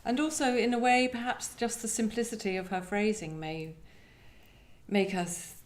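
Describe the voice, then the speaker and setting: A woman poet speaking thoughtfully about poetry and reading it, often pausing to search for words. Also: medium pitch, steady tone. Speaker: guest poet discussing and reading poems. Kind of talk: literary discussion and poetry reading. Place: echoey indoor room at a live event. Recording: echoey room recording.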